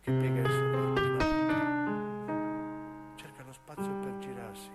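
A man narrates as a stage actor, in intense, deliberate phrases of dramatic radio storytelling. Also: mid-range voice. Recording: piano music under the voice. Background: piano and keyboard music.

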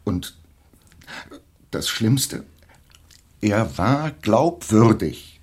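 A man's voice: on the low side, lively intonation, drawn-out words, darkish tone, slight background noise. An actor playing a nervous, evasive schemer who fears for his life, talking in a hesitant, self-justifying way in a German radio drama. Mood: uneasy, explaining.

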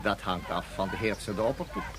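A man's voice: deep voice, fairly quick, close to an everyday voice. A man playing a formal, apologetic and officious court official in a science fiction radio play. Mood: stern, reluctant. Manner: stiff, ceremonious, officious. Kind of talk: Dutch radio drama acting.

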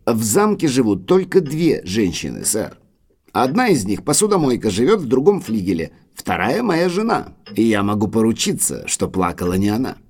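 Guarded butler, swooping low voice. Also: male voice, wildly swooping pitch, normal speed, darkish tone, husky, full character voice. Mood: guarded, insistent. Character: guarded manservant, a formal butler in an old manor. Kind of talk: audio drama acting.

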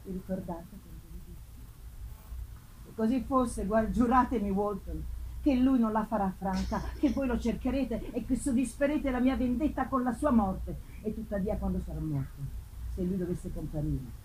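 A woman's voice: mid-range voice, expressive, moderate pace, a little mellow, slight background noise, close to an everyday voice, poor audio. A woman voicing an anguished, vengeful man in a solemn, impassioned, theatrical reading of a gothic novel. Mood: desperate pleading. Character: anguished, vengeful man hunting the demon who wronged him. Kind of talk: dramatic first-person gothic novel reading.

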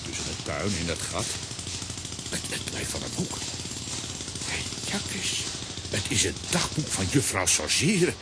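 An actor playing a sly, excitable villain who mutters and repeats words theatrically in a children's audio drama. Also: male voice, deep voice, measured pace, pauses often, very noisy, exaggerated voice. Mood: curious.

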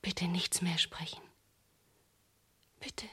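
An actress playing a lovestruck, headstrong young woman in a German radio crime drama, breathy, intimate and emotional. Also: lowish voice, flat delivery, slow pace, a bit bright, exaggerated voice. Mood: intimate, tender. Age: young adult. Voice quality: breathy, often near whispering.

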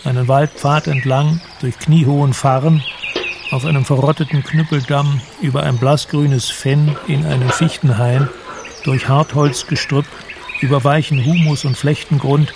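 A man narrating a literary radio drama in a measured, descriptive storytelling manner. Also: medium pitch, steady tone, vocal fry, background noise, natural voice.

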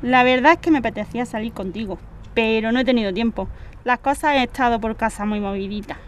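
A woman's voice: fairly high pitch, fast talker, close to an everyday voice. A woman with a Spanish accent acting a teasing, secretive, flirtatious neighbour in an audio drama, playful and coy. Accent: Spain. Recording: clear ambient noise behind voice.

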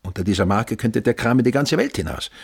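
An actor playing a blunt middle-aged businessman, his voice husky. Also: on the low side, lively intonation, fast talker, full character voice. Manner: blunt and matter-of-fact. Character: blunt older male businessman, father-in-law. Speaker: actor in radio drama. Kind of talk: radio drama acting.

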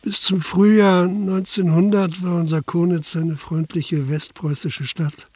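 An actor playing a dutiful, deferential Prussian police officer around 1900 in a radio drama, speaking in a measured, formal way. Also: male voice, high voice, level tone, a little mellow. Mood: sombre, reflective. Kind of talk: audio drama narration and dialogue.

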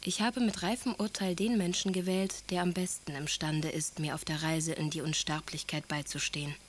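An actress playing an earnest, ardent young aristocratic bride-to-be, speaking letters solemnly and formally in a German radio play. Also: female voice, sits low, level tone, normal speed, a little hiss or hum, natural voice. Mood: composed, expectant.

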